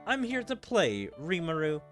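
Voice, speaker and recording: A brash, playful girl demon lord from an anime game, put on by a male player. The voice bounces widely in pitch and sounds devoted and bossy. Light game music runs behind it. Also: wildly swooping pitch, normal speed. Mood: boisterous. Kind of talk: amateur read-aloud of anime game dialogue.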